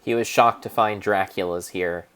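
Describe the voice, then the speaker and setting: A man narrating his own gameplay as a solemn, theatrical dramatic reading, with pauses and small slips. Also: sits low, steady tone, fairly bright tone, clean tone. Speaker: game streamer narrating play. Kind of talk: mock-dramatic storybook narration over gameplay.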